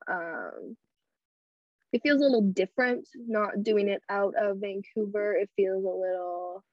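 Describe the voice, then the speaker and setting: A woman's voice: medium pitch, no echo, muffled recording. A young woman hosting her own podcast alone, speaking bluntly and candidly with crude humor. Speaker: podcast host. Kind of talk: casual solo podcast talk. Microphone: podcast microphone.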